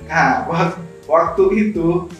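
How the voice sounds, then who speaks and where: Echoey, higher-voiced young man. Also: fairly even intonation, takes it slowly. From Indonesia. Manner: explanatory, conversational, repeats phrases. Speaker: guest, scholarship alumnus. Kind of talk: informal talk show interview, storytelling. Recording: very echoey room, distant mic, music behind.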